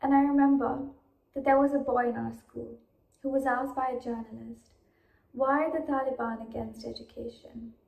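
High-voiced young woman, creaky, roomy sound. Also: fairly even intonation, normal speed, phone-quality sound. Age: teenage to young adult. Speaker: student reciting a speech. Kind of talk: recited speech. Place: indoor room. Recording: roomy echo.

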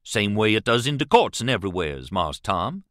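A man's voice: on the low side, sing-song, moderate pace, no room sound, studio quality. A man voicing a frightened, superstitious and stubborn grown man in an audiobook, with a Southern US accent and earnest, emphatic dialect speech. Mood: stubborn, insistent. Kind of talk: audiobook character voice.

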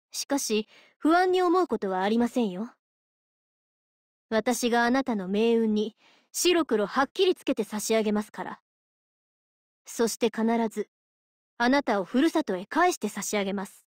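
A woman's voice: a little high, animated, no room sound, studio quality. A woman voicing a stern but caring female judge of the underworld for a Japanese mobile game, formal, polite and given to lecturing. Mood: calm, reassuring. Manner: formal, polite, measured, preachy. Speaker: voice actress.

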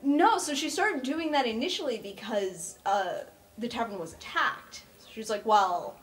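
A woman's voice: on the high side, expressive, moderate pace. A woman playing in a tabletop game, casually explaining her character in conversation. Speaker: player in a tabletop game podcast.

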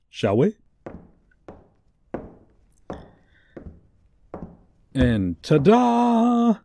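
A man playing a theatrical, sardonic supervillain posing as a tour guide in a comedic audio roleplay, with showy drawn-out emphasis and dry asides. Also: fairly high pitch, warm tone, no echo, heavily acted. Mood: showy, sly. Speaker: voice actor. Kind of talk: comedic ASMR roleplay monologue.

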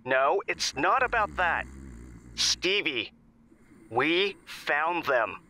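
An actor playing a terse, impatient colleague in an audio drama, giving urgent orders in a curt, commanding manner. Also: male voice, on the high side, big pitch swings, extremely slow, pushed and bright, heavily acted. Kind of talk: audio drama phone dialogue.

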